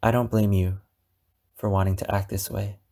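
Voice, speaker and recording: A man playing an obsessive, wounded, possessive captor in an ASMR roleplay, speaking deliberately with dramatic pauses. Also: fairly low pitch, level tone, mellow, close to an everyday voice. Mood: calm, coaxing. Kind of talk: ASMR roleplay monologue addressed to the listener.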